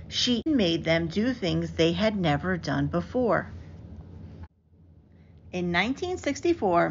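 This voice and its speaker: A woman reads a children's picture book aloud in an expressive, carefully enunciated storytelling manner. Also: medium pitch. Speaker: storybook reader. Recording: dull lo-fi audio with clear background noise.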